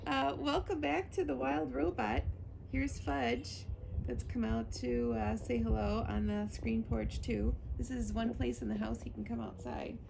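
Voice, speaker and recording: A woman's voice: fairly high pitch, fairly even intonation, measured pace. A woman reading a children's story aloud as a librarian would, recorded on a screened porch. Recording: clear background noise of outdoor porch.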